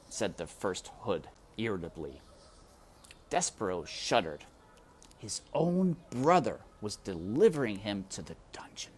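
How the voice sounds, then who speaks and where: A narrator reading a children's story aloud with deliberate, dramatic phrasing. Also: medium pitch, a little mellow, husky. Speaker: storybook reader. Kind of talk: expressive scripted narration, children's read-aloud.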